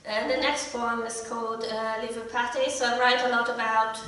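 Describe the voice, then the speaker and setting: A middle-aged woman with a slightly croaky voice, reading her poetry in a measured, deliberate way at a live event. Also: on the high side, little pitch movement, sharp tone, slight background noise. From Hungary. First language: Hungarian. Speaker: poet. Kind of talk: live poetry reading with short spoken introductions. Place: indoor live event room. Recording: echoey room, distant mic.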